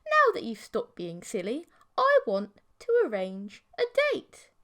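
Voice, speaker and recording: A woman voicing an excitable, teasing and bossy female creature girlfriend with a sing-song delivery in an audiobook. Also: on the high side, unhurried, full character voice.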